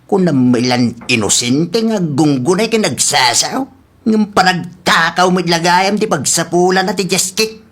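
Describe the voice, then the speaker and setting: A woman's voice: lowish voice, unhurried. A woman playing a blunt, disapproving gossipy husband in a comedy audio drama.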